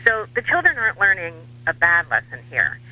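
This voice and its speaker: An American man giving political commentary as a radio guest, wry and dryly humorous, with measured hesitations. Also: high voice, wildly swooping pitch, sharp tone, rough recording. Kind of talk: talk radio interview.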